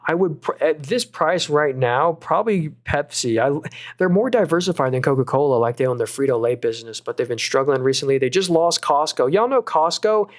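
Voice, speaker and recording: A young man hosting a live stream, reading viewers' comments and talking through stock picks in a casual, upbeat way with lots of fillers. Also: a little high. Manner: casual, upbeat, quick asides, frequent fillers and restarts. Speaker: stock market livestream host.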